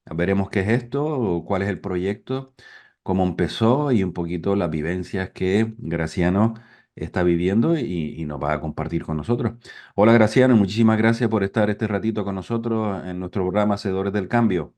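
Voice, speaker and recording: A man's voice: deep voice, normal speed, a little mellow. A man hosting an interview program, enthusiastic, filling the talk with affirmations and tag questions. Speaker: program host.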